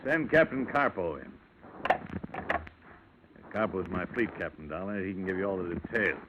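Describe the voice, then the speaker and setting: An actor playing a gruff, impatient fishing company boss in old radio drama style, blustery and brusque. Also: male voice, sits low, expressive, moderate pace. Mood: grudgingly cooperative. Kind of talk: 1940s-50s radio drama acting. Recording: muffled, noisy vintage radio recording.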